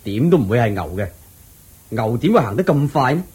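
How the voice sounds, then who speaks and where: A man voicing a commanding general in a radio drama, asking brusque questions in a husky voice. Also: medium pitch, sing-song, fairly quick, a little mellow. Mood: puzzled. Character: curious commanding male general.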